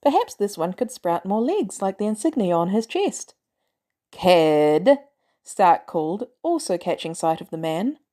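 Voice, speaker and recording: A woman reading scripted fiction as a podfic narrator, with expressive, dramatic emphasis. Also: mid-range voice, normal speed, few pauses.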